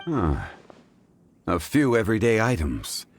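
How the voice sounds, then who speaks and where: An actor playing a calm, analytical gentleman investigator in a video game, speaking formally and deliberately. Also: on the low side, soft background music. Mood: calm, pondering. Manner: formal, measured, deliberate reasoning.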